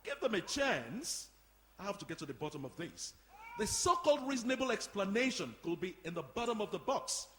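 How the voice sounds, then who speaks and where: An actor plays an officious, indignant man at a drama's committee table. His voice sits high and swings widely in pitch, with formal, emphatic wording. It rings out in a reverberant hall. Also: very animated, brisk, fairly bright tone, a little hiss or hum. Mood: shocked, indignant. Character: indignant, officious man at a youth centre meeting. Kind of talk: audio drama performed before a live audience. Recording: echoey hall.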